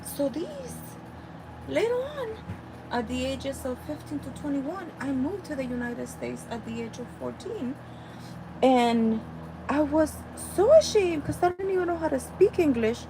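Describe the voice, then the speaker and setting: A woman's voice: sits high, a little slow, background noise. A woman from El Salvador with a Salvadoran Spanish accent, a native Spanish speaker, teaching about spiritual healing and talking straight to her listeners. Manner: animated, addresses listeners directly. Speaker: spiritual healer.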